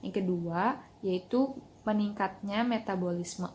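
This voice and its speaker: A woman's voice: medium pitch, a little slow, darkish tone. A young woman, a student explaining in a class presentation. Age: young adult. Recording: clear background noise.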